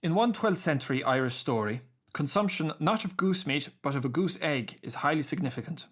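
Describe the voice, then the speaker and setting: A man reading scripted narration for a radio series, measured and precise in his diction. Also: fairly high pitch, animated.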